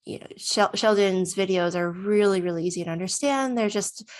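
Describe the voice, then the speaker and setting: A woman in her thirties speaks casually, as if recording a video testimonial. Her voice sits at a medium pitch. She is chatty and expressive, putting her own thoughts into words as she goes. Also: moderate pace. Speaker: student giving a testimonial.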